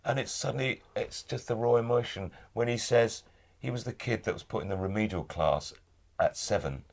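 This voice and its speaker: Deep-voiced middle-aged man, thoughtful. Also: vocal fry. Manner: thoughtful, halting, repeats words as he restarts. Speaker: audiobook narrator and guest. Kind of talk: casual interview chat. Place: recording studio.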